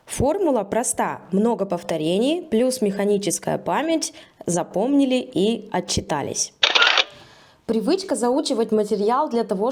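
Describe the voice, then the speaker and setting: A woman teacher talks the listener through a scripted lesson, measured and persuasive. Her voice is mellow and soft-edged, at an ordinary middle pitch. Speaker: English teacher. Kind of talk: scripted video lesson narration.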